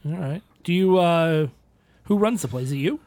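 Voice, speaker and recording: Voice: medium pitch, lively intonation, normal speed, a little mellow, dry recording. Blunt, questioning tabletop player. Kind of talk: casual in-character play.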